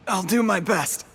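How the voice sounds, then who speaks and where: A man's voice: high voice, pushed and bright, a little hiss or hum, heavily acted. An actor playing an earnest, polite and easily flustered young man in a video game.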